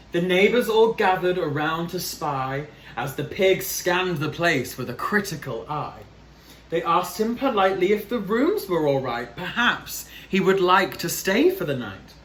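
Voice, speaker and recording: A man's voice: on the high side, fairly bright tone. A man reading a children's picture book aloud as a drag storyteller, theatrical and chatty, speaking straight to young viewers. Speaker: storyteller, drag queen host. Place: small plain room indoors. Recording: echoey room, light background noise.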